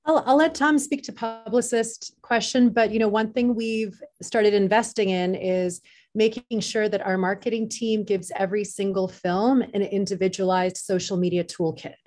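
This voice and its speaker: A woman's voice: mid-range voice, fairly even intonation, moderate pace, a little mellow. A woman speaking as an interview guest, explaining things in an informal conversational way. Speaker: film festival organiser, interview guest.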